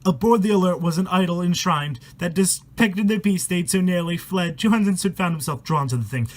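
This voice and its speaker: A man's voice: high-pitched, brisk. A man reading a rhyming horror story aloud in a dramatic, irreverent way, stumbling over words and swearing in casual asides. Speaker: amateur storyteller and host.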